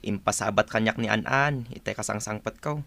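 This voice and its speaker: Bright-toned, mild Ilocano husband. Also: male voice, on the low side, a little fast, creaky, slight background noise. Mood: mildly curious. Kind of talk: Ilocano radio drama acting.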